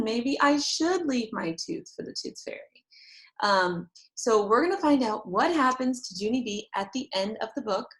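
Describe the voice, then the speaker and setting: A woman teacher reading aloud to young children, explaining things in simple phrases. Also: on the high side. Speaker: teacher reading a chapter book aloud to pupils. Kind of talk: read-aloud storytelling for children.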